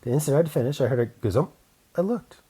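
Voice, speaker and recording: A man's voice: medium pitch, expressive, fast, mellow, slightly rough, voice pushed up. A man playing a secretive old hermit who tells his wistful, defensive tale in a storybook reading, with dramatic phrasing. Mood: wistful, excited.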